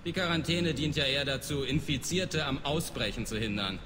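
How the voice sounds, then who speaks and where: An actor playing a dismissive alien mercenary guard in a video game, curt and contemptuous of humans. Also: male voice, mid-range voice, fairly quick, close to an everyday voice. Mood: matter-of-fact. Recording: reverberant game scene with clear background noise.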